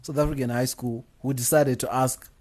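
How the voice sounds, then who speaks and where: A man's voice: medium pitch, fairly even intonation, moderate pace, darkish tone. A man hosting a podcast, telling stories animatedly with Shona phrases mixed in and frequent laughs. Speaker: podcast host. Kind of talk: casual chat.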